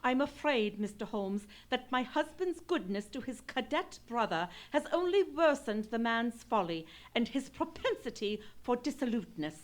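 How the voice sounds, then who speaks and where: A woman's voice: a little high, very animated, moderate pace, few pauses. A woman playing a firm, loyal English lady of the manor in a live radio play, speaking formally and with disapproval. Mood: disapproving, loyal. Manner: formal, stately, resolute. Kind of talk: live old-time radio play acting.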